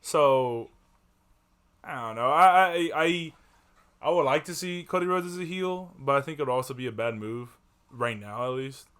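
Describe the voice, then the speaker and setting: A man's voice: medium pitch, expressive, normal speed, fairly bright tone, clean tone. A young man co-hosting a casual podcast, talking in a slangy, laid-back way and often trailing off mid-thought. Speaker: podcast co-host. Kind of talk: casual chat.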